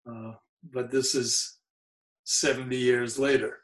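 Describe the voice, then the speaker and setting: Slow, mellow older man, New York accent. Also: medium pitch, little pitch movement, rough recording. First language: Yiddish. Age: 70s. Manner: mellow, unhurried, halting with frequent fillers. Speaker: interviewee. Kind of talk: oral history recollection.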